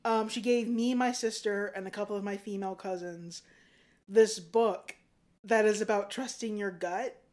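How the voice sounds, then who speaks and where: A woman's voice: mid-range voice, fairly even intonation, moderate pace, darkish tone. A woman in her thirties, a guest on a podcast, talking in an easygoing, reflective way and laughing often. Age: 30s. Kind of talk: casual chat.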